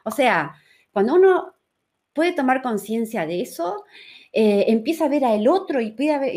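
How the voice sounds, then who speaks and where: Female teacher, Uruguayan accent, fairly high voice. Informal live-class delivery, repeats for emphasis, checks in often. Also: a little mellow. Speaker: human design teacher. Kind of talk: live online teaching talk, conversational.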